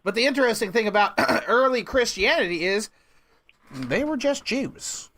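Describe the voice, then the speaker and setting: Animated man with swooping pitch. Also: normal speed. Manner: interjects, repeats phrases. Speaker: prank call host. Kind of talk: casual, playful conversation.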